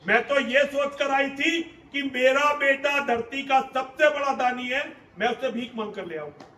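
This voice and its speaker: A man's voice: extremely high pitch, a little slow, bright, forceful tone, heavily acted. A male storyteller voicing a remorseful mother who pleads tearfully with her son. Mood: pleading. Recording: roomy hall, distant mic.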